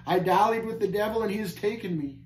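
A man's voice: sits high, fast, voice pushed up, lightly acted. A man voicing a despairing, guilt-tormented prisoner in an audiobook story, moaning in anguish. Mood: bitter despair. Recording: roomy echo, faint background noise.

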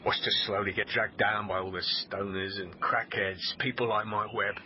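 Man acting a low-voiced, weary British policeman. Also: fairly even intonation, moderate pace, natural voice. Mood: weary, subdued. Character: weary, sardonic male police officer. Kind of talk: naturalistic radio drama acting. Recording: dull radio recording, clear background noise.

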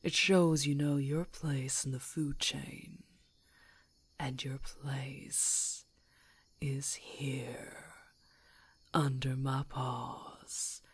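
A woman playing a menacing, playful werewolf hunter who gloats over prey in a breathy, husky ASMR whisper, with a slow teasing delivery. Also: a bass voice, no echo, exaggerated voice.

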